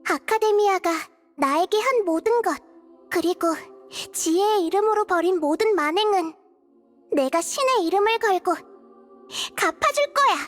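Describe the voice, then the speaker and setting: A woman voicing a gentle, thoughtful little girl god in a video game, with reflective, soft-spoken delivery. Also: extremely high pitch, animated, moderate pace, faint music behind. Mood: solemn. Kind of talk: video game character dialogue.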